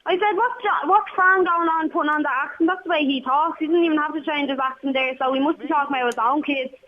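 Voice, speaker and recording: A woman with a Dublin accent calling into a radio show, defending herself and firing back at another caller. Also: high-pitched. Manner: defensive, quick to fire back. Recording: dull phone-line sound with faint noise.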